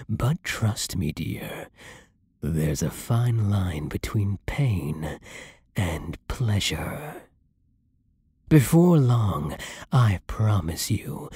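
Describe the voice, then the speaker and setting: A man voicing a centuries-old, possessive vampire lord in an ASMR roleplay, silky and commanding with a breathy voice that often sinks into whispers. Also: fairly low pitch, warm tone, no echo, clean studio sound, exaggerated voice. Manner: slow, theatrical, silky, commanding, condescending. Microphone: close studio microphone, dry.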